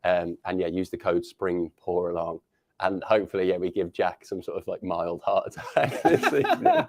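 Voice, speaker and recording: A man's voice: low-pitched, sing-song, moderate pace, a little mellow. A man co-hosting a live online stream, joking and enthusiastic in easy banter with his co-host. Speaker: livestream co-host. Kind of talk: casual live stream chat.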